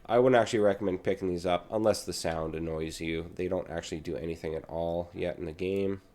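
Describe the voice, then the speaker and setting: A young man with a cold and a raspy throat, casually talking through a video game as he plays. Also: low voice, fairly quick. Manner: relaxed, chatty, talks while playing. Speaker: gaming channel host. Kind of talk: casual gameplay commentary.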